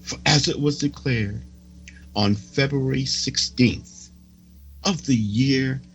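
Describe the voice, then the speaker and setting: A man reading prophecy as a sermon, solemn and declamatory, with dramatic pauses. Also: mid-range voice, highs cut off, faint music behind. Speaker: preacher reading a prophecy report.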